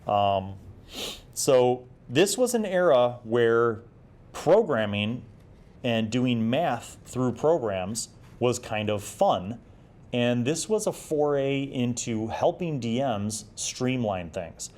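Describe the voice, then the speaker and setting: A man's voice: medium pitch, lively intonation, takes it slowly, a little mellow. A man in his forties talking casually on his own as he hosts a review show, reflective and explanatory in a conversational way. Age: 40s. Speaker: host of an old gaming review series. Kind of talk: casual solo review and reminiscence.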